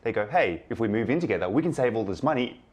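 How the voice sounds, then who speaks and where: A man's voice: lowish voice, expressive, fast. A young man speaking casually as a panelist in a TV studio discussion.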